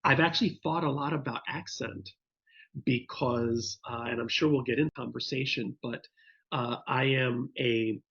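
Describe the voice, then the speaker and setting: A man's voice: medium pitch, fairly even intonation, unhurried, vocal fry, fairly bright tone, breathy edge, highs cut off. A man from the Bronx answering interview questions thoughtfully, often restarting his sentences. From Bronx, New York. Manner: thoughtful, with frequent restarts and fillers. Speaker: podcast guest.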